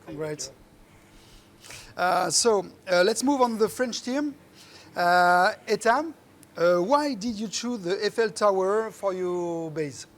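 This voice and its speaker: A man's voice: high-pitched, animated, takes it slowly, a bit bright. A man presenting a live event off the cuff and asking questions.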